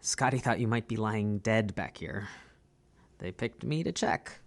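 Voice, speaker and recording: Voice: medium pitch, unhurried, faint music behind, lightly acted. An actor playing a wry, friendly theater crew colleague in an audio drama, dry and teasing.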